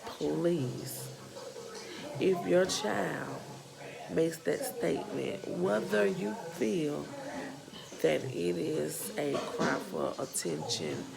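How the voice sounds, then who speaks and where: A woman in her thirties with a Southern US accent, speaking earnestly and haltingly as a parent who runs a nonprofit, her voice a bit worn. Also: sits low, very animated, creaky, low-quality recording. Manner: slow, earnest, halting with pauses and restarts. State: losing her voice. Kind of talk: personal vlog testimony.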